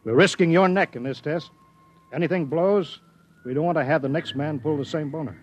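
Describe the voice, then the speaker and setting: A man's voice: fairly high pitch, brisk, lightly acted. A man acting in a 1950s radio drama, playing a serious, cautious flight test director who gives earnest instructions. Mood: grave, instructive. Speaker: radio actor. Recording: muffled, noisy old radio broadcast.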